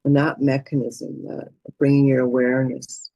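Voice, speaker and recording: A woman guides a meditation in a soft, mellow voice with little edge to it. Her delivery is gentle and soothing, leaving space between short phrases. The sound is dry and close, with no room echo. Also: extremely low pitch, little pitch movement. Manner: gentle, soothing, unhurried with pauses. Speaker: meditation teacher.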